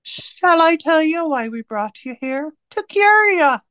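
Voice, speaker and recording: A woman playing a cold, domineering interrogator in a radio drama, speaking in deliberate, commanding, rhetorical questions and pronouncements. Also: high-pitched, lively intonation, pushed and bright, dry recording, full character voice, low-quality recording. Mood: controlled, imperious. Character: cold, domineering party interrogator and torturer, righteous and controlling. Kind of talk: theatrical radio drama acting.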